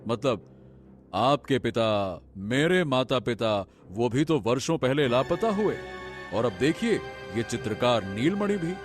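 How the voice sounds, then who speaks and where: A man playing an earnest young prince in a cartoon story, speaking theatrically with drawn-out pauses and emphatic repetition. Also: medium pitch, big pitch swings, music in the background. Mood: curious, concerned. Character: young prince, earnest, brooding, grandly expressive. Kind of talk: Hindi animated moral story dialogue.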